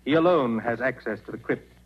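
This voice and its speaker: An actor playing a precise, formal, impatient museum director in a 1940s radio drama. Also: male voice, mid-range voice, a little fast, husky, close to an everyday voice. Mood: formal, concerned. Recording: dull 1940s radio recording.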